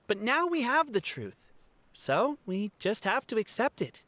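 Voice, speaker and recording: A woman's voice: fairly high pitch, sing-song, a little slow, fairly bright tone, heavily acted. A woman playing an earnest, solemn, formal clan member in a video game. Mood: solemn certainty.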